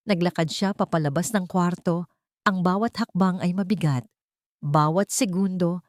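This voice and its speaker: Woman, lower-pitched, dramatic story narration, close dry sound. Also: a little mellow, no room sound.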